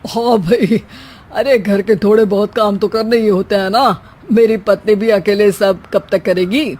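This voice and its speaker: A woman's voice: medium pitch, fairly even intonation, a little slow, darkish tone, close to an everyday voice. A woman voicing a bossy young husband in a cartoon who keeps making joking remarks to his wife. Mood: teasing. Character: bossy teasing young husband, office worker. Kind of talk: cartoon voice acting.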